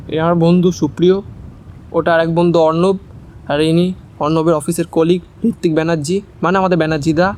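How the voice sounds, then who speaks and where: A man voices an excitable young friend in an audio drama. His voice is high, eager and informal, with faint noise behind it. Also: natural voice.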